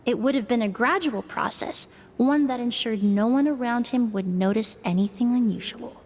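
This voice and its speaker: A woman voicing a sharp, analytical young female investigator in a game, explaining in a calm, measured way. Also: on the high side, a little hiss or hum, close to an everyday voice. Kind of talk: voiced game dialogue.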